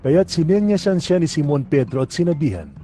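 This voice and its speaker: Higher-pitched mellow male narrator. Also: sing-song, brisk, faint music behind. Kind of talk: scripted scripture narration for audio drama.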